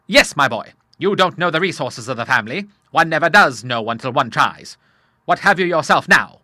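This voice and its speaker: A man's voice: fairly high pitch, animated, normal speed, pushed and bright, dry recording, studio quality, pitched above the performer's own voice, heavily acted. A man voicing a hearty, boisterous old Admiral in an audiobook, bluff and full of exclamations. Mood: hearty, eager. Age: older. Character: hearty, blustering old Admiral, a proud father. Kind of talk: audiobook character voice.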